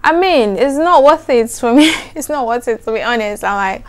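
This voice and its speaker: A young adult woman with a soft, soothing voice, chatting to camera in an animated, emphatic way. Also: on the high side, moderate pace. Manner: animated, emphatic, repeats "trust me". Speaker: vlogger. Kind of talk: casual chat to camera.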